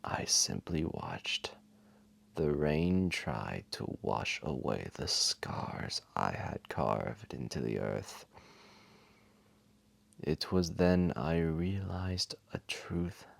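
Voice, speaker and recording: A man voicing an ancient, massive dragon, wise, gentle and remorseful, telling a solemn story to one listener in a gravelly, breathy half-whisper. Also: rumbling low, sing-song, no room sound, full character voice. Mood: solemn, regretful. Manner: solemn, deliberate, tender. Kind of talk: slow dramatic storytelling to a single listener.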